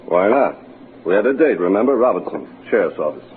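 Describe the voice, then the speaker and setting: A nosy insistent cop, voice swings a lot, old 1940s radio drama, muffled and a bit hissy. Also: male voice, sits low, sing-song, fairly quick. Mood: casual, nosy. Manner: casual, needling, insistent. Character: pushy, nosy sheriff's detective, casually insistent man.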